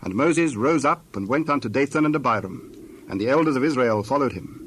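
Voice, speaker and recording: A man narrating scripture from a script in a measured, solemn storytelling manner. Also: mid-range voice, lively intonation, fairly bright tone, slightly rough, no echo.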